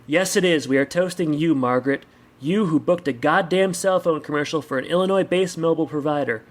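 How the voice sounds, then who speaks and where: A man's voice: fairly high pitch, fairly quick, close to an everyday voice. A man reading a story voices a brash, celebratory woman, emphatic and theatrical as she toasts a friend. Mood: mock-grand, celebratory.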